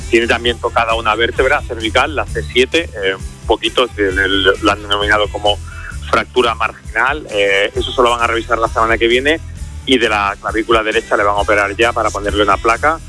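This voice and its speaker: A man reporting motorsport injury news in a measured, informative way. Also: lowish voice, steady tone, pushed and bright, soft background music.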